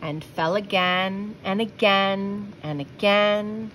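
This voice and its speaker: Female storybook reader, mid-range voice, expressive and deliberate read-aloud delivery, light background noise. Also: mid-range voice, poor audio. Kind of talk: children's picture book read-aloud with asides.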